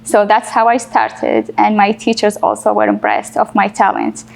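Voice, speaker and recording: Gravelly-voiced young woman from Iraq. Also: medium pitch, flat delivery, normal speed, low-quality recording. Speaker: painter, interview guest. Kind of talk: casual interview answers.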